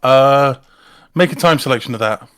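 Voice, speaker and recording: A man's voice: mid-range voice, normal speed, fairly bright tone, smooth, no room sound, clean studio sound. A man in casual chat, talking someone through a technical fix, often stammering and repeating words. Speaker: live-stream participant giving technical help.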